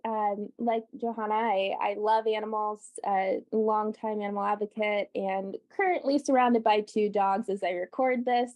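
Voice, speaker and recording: A woman's voice: medium pitch, steady tone, normal speed, few pauses, a little mellow. A woman from the US co-hosting a casual chat, upbeat and often laughing. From United States. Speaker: podcast co-host.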